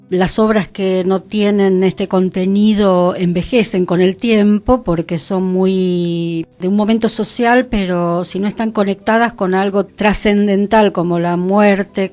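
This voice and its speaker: Woman, Argentine accent, radio host, slightly low voice, reflective conversational delivery, music in the background. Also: darkish tone. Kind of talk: conversational radio discussion about culture. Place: radio studio.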